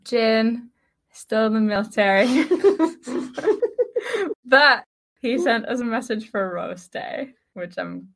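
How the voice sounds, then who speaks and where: A woman's voice: a little high, animated, slow pace, vocal fry, pauses often, fairly bright tone. A woman in her twenties hosting a casual fan chat podcast. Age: 20s. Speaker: podcast host.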